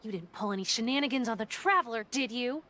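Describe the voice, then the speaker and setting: A woman's voice: on the high side, animated, quick pace, pushed and bright. A woman voicing a bossy, hot-tempered young female detective agency boss in a video game, scolding and accusatory. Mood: outraged.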